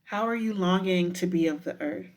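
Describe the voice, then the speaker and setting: A woman guiding a meditation in a deliberate, unhurried manner with frequent pauses. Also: on the low side. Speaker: professor guiding a breathing meditation. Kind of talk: guided meditation with spoken poetry.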